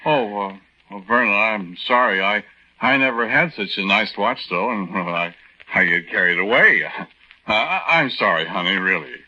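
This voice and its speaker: A man's voice: on the low side, expressive, moderate pace, sharp tone, heavily acted. A man playing a bashful, awkward young factory worker with a stammering, folksy manner in a 1940s radio drama. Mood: sheepish. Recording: dull vintage 1940s radio recording.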